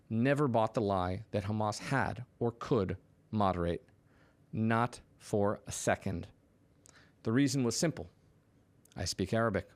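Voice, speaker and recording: Male political commentator, lowish register. Indignant, punchy scripted delivery with dramatic pauses. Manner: emphatic, punchy, dramatic pauses between short phrases.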